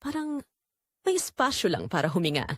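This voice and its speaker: Filipina woman reading a romance audio drama, pitch moves around a lot, super dry recording. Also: very animated, moderate pace, husky, no room sound. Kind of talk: scripted first-person romance narration.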